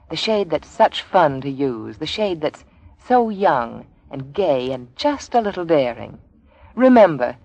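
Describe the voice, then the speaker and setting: A woman's voice: medium pitch, darkish tone, dull audio. An American woman reading a scripted 1940s radio beauty commercial as spokeswoman.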